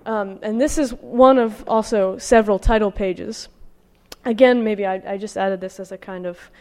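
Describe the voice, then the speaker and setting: A woman delivers an academic lecture in a large room with long reverb around her voice. She has a mid-pitched voice and a scholarly, slightly halting manner as she presents her research. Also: mellow. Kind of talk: academic talk with slides, reading out quotations.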